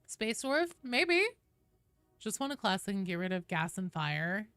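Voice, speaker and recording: Female livestream host, bright and smooth voice, casual gamer chatter, close dry sound. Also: mid-range voice, very animated, a little slow, no room sound. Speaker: game streamer and host. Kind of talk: casual livestream chat while gaming.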